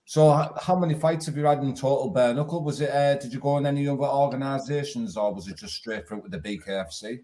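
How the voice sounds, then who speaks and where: A man's voice: medium pitch, quick pace, few pauses, warm tone. A man hosting a casual interview, friendly and informal. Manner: friendly, chatty, informal slang. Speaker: podcast host.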